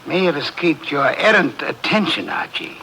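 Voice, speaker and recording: A fat, lazy detective who speaks in lofty, irritable sentences. His voice sits high and gravelly with a bright, cutting tone. It comes through an old radio broadcast with a faint hiss. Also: wildly swooping pitch, moderate pace, exaggerated voice, poor audio. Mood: haughty. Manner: pompous, irritable, formal diction. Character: fat, lazy, pompous genius detective, middle-aged man. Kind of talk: 1940s radio acting.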